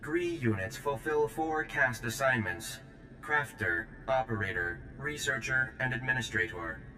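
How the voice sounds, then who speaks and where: A man's voice: medium pitch, expressive, measured pace, echoey room, noisy background, close to an everyday voice. A male actor playing an earnest, aggrieved alien maintenance worker in a video game, speaking in stilted, formal, oddly worded phrases. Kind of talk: video game character acting.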